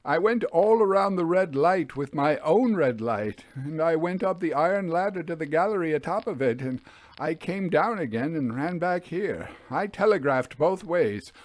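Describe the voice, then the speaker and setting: A man voicing a haunted railway signalman who recounts a spectre in a grave, halting way, as in a ghost story. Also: on the high side, lively intonation, dry recording, voice pushed up, lightly acted. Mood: agitated, dreading. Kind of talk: dramatic reading of a classic ghost story.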